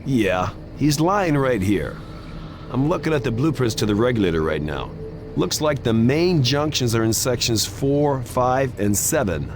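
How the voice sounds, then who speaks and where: A man's voice: medium pitch, big pitch swings, unhurried, over music. A man playing a reluctant ex-soldier in a video game, with a dry, sarcastic way of talking. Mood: businesslike, wry. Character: sardonic reluctant mariner and ex-special forces man. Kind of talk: video game cutscene acting.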